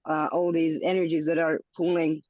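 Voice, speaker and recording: A woman's voice: low-pitched, little pitch movement, moderate pace, dry recording. Woman on a dull line. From Hungary. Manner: searching, repeats words when thinking. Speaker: podcast guest. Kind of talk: casual interview talk.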